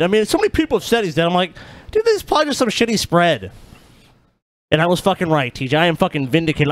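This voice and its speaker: A man's voice: a little high, fairly bright tone, no room sound. A man co-hosting a podcast in heated casual banter, swearing heavily and boasting brashly. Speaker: podcast co-host.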